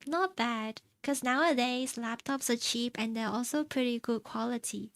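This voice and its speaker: A young woman from China chatting casually on stream, answering her chat in a soft, rambling way and laughing often. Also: on the high side, moderate pace, dry recording. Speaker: VTuber streamer. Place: home streaming setup.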